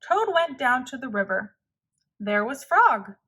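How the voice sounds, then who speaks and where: A woman narrating a children's storybook aloud in a measured, clearly phrased way. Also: sits high, big pitch swings, sharp tone. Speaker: storybook reader.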